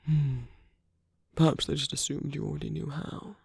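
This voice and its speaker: Casting: suave, teasing male villain, played by a man for ASMR roleplay; dark, creaky, breathy murmur, intimate and unhurried. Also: fairly high pitch, level tone, no room sound, clean studio sound, heavily acted. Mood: sly, flirtatious. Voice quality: soft, breathy. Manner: slow, teasing, murmuring, often dropping into whispers.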